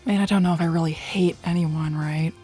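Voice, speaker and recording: A woman's voice: sits low, steady tone, fairly quick, mellow, breathy edge, soft background music. A woman voicing a sly, flirtatious, confident female bat thief in a fan cartoon dub, with a teasing, casual drawl.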